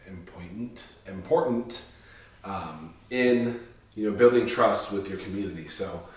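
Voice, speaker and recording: A man in his twenties, stuffy and nasal from a sinus infection, chats in a loose, rambling way full of ums and restarts. His fairly low voice bounces around a very echoey room. The mic sits a little way off. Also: distant mic. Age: 20s. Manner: laid-back, rambling, frequent ums and restarts. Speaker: bodybuilding vlogger. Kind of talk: casual talk to camera.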